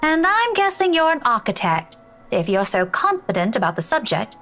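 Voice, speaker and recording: A woman's voice: fairly high pitch, big pitch swings, normal speed, fairly bright tone. A woman playing a friendly, teasing young schoolteacher in a visual novel, with a British accent and rolled Scottish R's. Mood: friendly, curious. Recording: music behind game dialogue.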